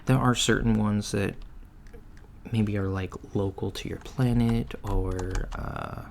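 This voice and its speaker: A man playing a mild, matter-of-fact alien floor manager in a soft-spoken, hesitant ASMR job interview roleplay. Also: fairly even intonation, slow, creaky. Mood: procedural. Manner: hesitant, low-key, procedural, murmured acknowledgements. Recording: lo-fi with faint background noise. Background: computer keyboard typing and clicks.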